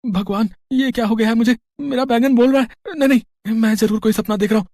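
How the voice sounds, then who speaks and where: Actor as excitable poor village lad. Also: medium pitch, quick pace, no echo, clean studio sound, close to an everyday voice. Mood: alarmed. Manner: stammering when flustered. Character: poor, hungry, easily startled young male villager. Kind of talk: animated moral story dialogue.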